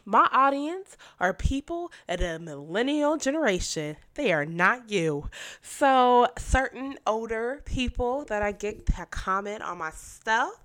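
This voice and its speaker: A woman's voice: a little high, lively intonation, a little slow, fairly bright tone. Stuffy, blunt young woman podcaster. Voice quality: nasal, congested. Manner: blunt, sassy, emphatic. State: stuffy from a cold. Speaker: podcast host. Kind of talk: casual political commentary.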